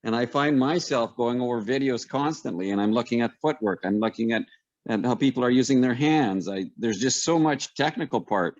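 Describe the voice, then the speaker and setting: A man's voice: mid-range voice, fairly quick, no echo. A man speaking as a guest on an online panel, earnest and reflective, with frequent ums. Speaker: guest panelist, pickleball player. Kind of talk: casual personal testimony. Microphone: video call. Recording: dull dry video-call audio.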